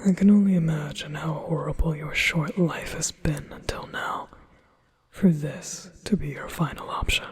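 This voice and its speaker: A man voicing a possessive, manipulative male demon in a whispered ASMR hypnosis roleplay, speaking in a slow, soothing whisper. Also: fairly high pitch, close to an everyday voice. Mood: hypnotic.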